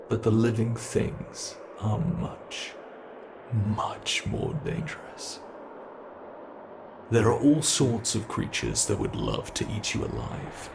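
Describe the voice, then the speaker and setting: A British man voicing a predatory male monster from under the bed in a horror roleplay. He speaks slowly and playfully, taunting his prey and dropping to whispers. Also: on the low side, gravelly, background noise, full character voice.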